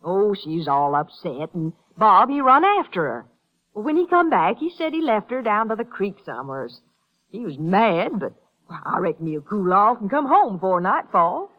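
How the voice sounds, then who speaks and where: A woman's voice: mid-range voice, big pitch swings, normal speed. An actress playing an anxious, folksy rural mother with a Southern American accent in a 1950s radio western, hesitant and protective. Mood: anxious, defensive. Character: anxious, folksy rural Texas mother defending her son. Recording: dull, lo-fi 1950s radio recording.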